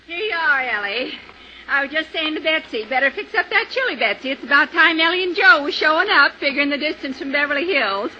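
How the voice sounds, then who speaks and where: A woman's voice: sits high, lively intonation, brisk, bright, forceful tone, heavily acted. A woman acting a chatty, nosy older drive-in owner with a folksy American accent in a 1950s radio drama. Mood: chatty, curious. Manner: gossipy, folksy, emphatic. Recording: tinny, faintly noisy old radio recording.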